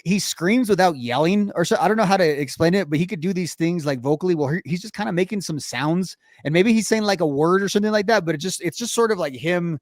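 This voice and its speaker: A man with a fairly high voice, recorded dry and close with no room sound. He talks in a halting way, stopping and restarting as he searches for the right words while co-hosting a record review show. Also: expressive, no room sound. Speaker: podcast co-host. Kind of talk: casual music review chat.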